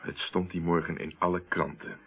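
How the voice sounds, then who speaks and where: An actor playing a steady, probing man who confronts a murderer in a radio play, speaking in a deliberate, controlled, accusing way. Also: low-pitched, slightly rough. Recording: tinny, narrow-band old radio recording.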